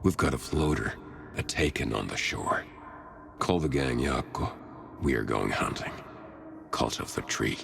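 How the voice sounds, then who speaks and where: A grim, menacing male figure with an extremely deep, gravelly voice. He mutters cryptic fragments slowly, in a clipped video game monologue. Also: lively intonation, heavily acted.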